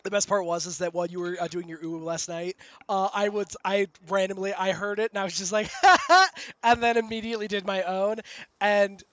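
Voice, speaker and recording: High-voiced man telling animated stories. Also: fairly quick, no echo, highs cut off. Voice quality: high-pitched voice. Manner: animated storyteller, acts out the people in his stories. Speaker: streamer host. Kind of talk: casual chat on a game stream. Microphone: voice chat call.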